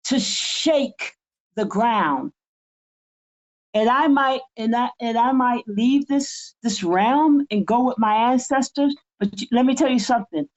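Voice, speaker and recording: A woman in her seventies with a high voice speaks with fiery, emphatic conviction, rising into a preaching cadence. Her audio is dull and lo-fi, like a remote call. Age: 70s. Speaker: co-host. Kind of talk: impassioned spiritual podcast talk.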